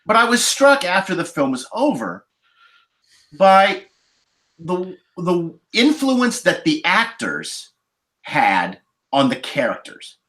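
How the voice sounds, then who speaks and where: A man in a casual roundtable discussion, laying out his points as a podcast panelist in deliberate, repeated phrases. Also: high voice, wildly swooping pitch, sharp tone. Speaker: podcast panelist reviewing films.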